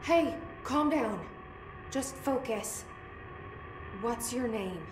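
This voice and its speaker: An actress playing a determined, level-headed young heroine in a video game, speaking in a direct way. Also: female voice, on the high side, lively intonation, extremely slow, background noise. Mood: concerned, steady. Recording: echoey space with music behind.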